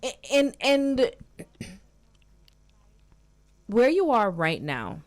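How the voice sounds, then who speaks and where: A woman hosting an interview podcast, effusive and animated, hyping up her listeners. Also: fairly high pitch, very slow. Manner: effusive, animated, hypes up the audience. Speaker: podcast host. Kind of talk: enthusiastic interview chat.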